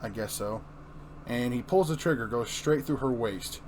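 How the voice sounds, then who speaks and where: A man's voice: mid-range voice, normal speed, a little mellow. Male narrator, mid-range, stumbles and self-corrects through a dramatic reading, noisy recording. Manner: stumbles over words and corrects himself. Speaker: comic-book review show host. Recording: clearly noisy room recording.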